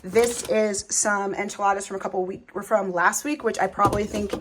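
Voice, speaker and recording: A young woman vlogging casually in her home kitchen, chatty and upbeat with a slightly hoarse voice. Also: mid-range voice, fairly even intonation, fairly quick, noisy background. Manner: chatty, upbeat, lots of fillers. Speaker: family vlogger. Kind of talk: casual chat to camera.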